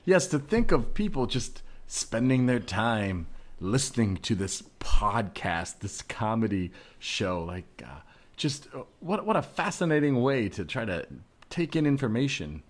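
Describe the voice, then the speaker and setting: A man playing a mild, learned doctor from the far future in improvised comedy, speaking in a measured, hesitant, mock-scholarly way. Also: mid-range voice, sing-song. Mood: condescending, bemused.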